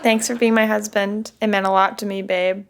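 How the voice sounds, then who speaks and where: A woman's voice: mid-range voice, little pitch movement, moderate pace, a little hiss or hum, close to an everyday voice. A woman playing a harried young intern in a sitcom, wry and self-deprecating. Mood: wry, resigned. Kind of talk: sitcom acting.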